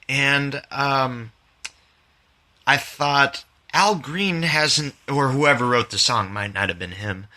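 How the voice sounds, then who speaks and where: A man hosting his own poetry podcast, reading his poems and talking about them in an informal, candid, halting way. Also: mid-range voice, bright, forceful tone. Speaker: podcast host and poet.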